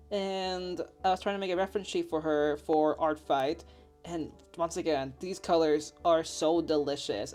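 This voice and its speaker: A woman's voice: fairly low pitch, a little mellow. A young woman with a Finnish accent, chatting casually in a bubbly, giggly, rambling way as she shows her sketchbook. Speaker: artist showing her sketchbook. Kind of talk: casual voice-over chat. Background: faint background music.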